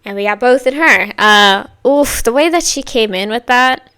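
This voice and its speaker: A woman hosting a music reaction video, talking casually in rambling, filler-heavy sentences with bursts of excitement. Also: fairly high pitch, normal speed, clear, resonant tone. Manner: chatty, rambling, many likes and you-know-what-I-means.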